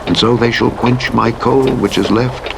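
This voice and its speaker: A man voicing a grieving widow woman who pleads before a king, his voice lifted higher, with rain and engine noise behind. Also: steady tone, a little slow, gravelly. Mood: pleading.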